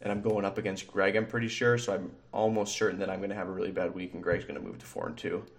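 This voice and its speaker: Low-voiced rambling podcast co-host, a man. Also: flat delivery, quick pace, a little hiss or hum. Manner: rambling, lots of fillers, laughs easily. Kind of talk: casual chat.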